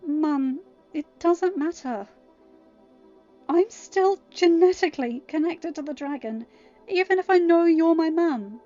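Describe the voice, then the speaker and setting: A woman's voice: very high-pitched, lively intonation, a little slow, dark tone, highs cut off, soft background music, voice pushed up. A woman voicing a troubled, self-doubting teenage girl in a plaintive, insistent way for a story. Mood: dejected.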